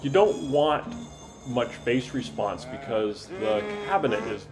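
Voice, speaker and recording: A man's voice: medium pitch, animated, unhurried, music in the background. An older man giving a casual, explanatory hobby demonstration in plain narration. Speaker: hobbyist presenter demonstrating a restored radio.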